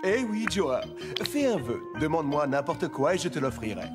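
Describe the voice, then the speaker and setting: A man's voice: a little high, very animated, normal speed. An actor voicing a playful, commanding male genie of the lamp in a cartoon, theatrical and sing-song. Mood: grand. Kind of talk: cartoon acting. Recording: music under the voice.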